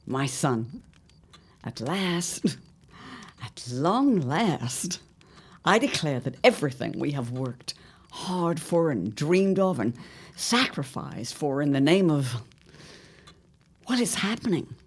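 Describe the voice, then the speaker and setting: A woman's voice: sits low, sing-song, takes it slowly, long pauses, breathy edge, heavily acted. A woman playing a shrewd, scheming mother of a grown son in an audio drama, with a grand, theatrical, drawling delivery. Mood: exultant.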